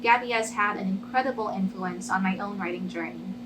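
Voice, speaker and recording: A woman's voice: medium pitch, fairly quick. Woman author over noisy hum. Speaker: writer reading own story. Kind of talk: literary story reading. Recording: clearly noisy, humming background.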